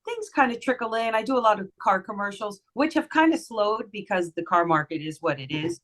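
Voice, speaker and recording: Woman, low-ish voice that carries a smile, chatty podcast guest. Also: animated, brisk, a bit bright. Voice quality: lower voice for a woman. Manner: chatty, friendly, giggly, smiling tone. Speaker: voice actress guest. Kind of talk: casual podcast interview.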